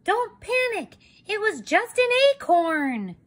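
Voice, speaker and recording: A woman's voice: very high voice, very animated, slow, voice pushed up, exaggerated voice. A woman voicing an eager, childlike little red chicken daughter, pleading and exclaiming, in a picture-book read-aloud. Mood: urgent warning.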